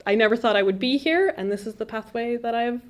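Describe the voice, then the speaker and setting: A woman home economics teacher giving a thoughtful, measured interview answer. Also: fairly high pitch, lively intonation.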